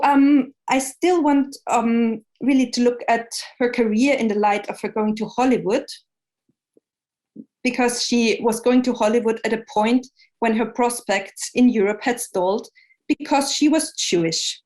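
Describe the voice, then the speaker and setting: A woman presenting an academic seminar lecture, speaking in a measured way with frequent pauses and hesitations. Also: a little high, darkish tone, poor audio.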